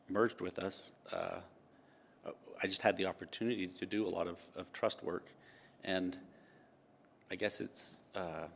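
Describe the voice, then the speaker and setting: A man in his fifties from Utah, a tax partner answering interview questions with dry, self-deprecating humor and many pauses. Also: sits low, gravelly, a bit bright, slight background noise.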